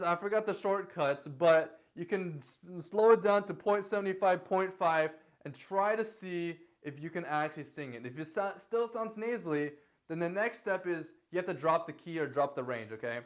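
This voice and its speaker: Chatty male vocal coach with a higher voice. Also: moderate pace. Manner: loose, repetitive talk with many fillers and "okay?" checks; sings short lines to demonstrate. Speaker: singing teacher. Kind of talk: casual vocal lesson.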